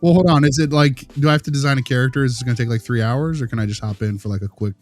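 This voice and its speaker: A man's voice: mid-range voice, rapid-fire, a little mellow, faint music behind, natural voice. A man playing an affable, slightly awkward visiting consultant, chatty, informal and rambling, in improvised audio drama. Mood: curious, jovial.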